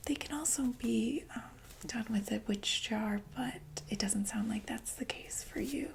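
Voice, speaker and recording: A woman playing a reassuring female doctor for monsters in a whispered ASMR roleplay, explaining gently and hesitantly in a breathy voice. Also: a little high, a little slow, a little hiss or hum. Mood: explaining calmly.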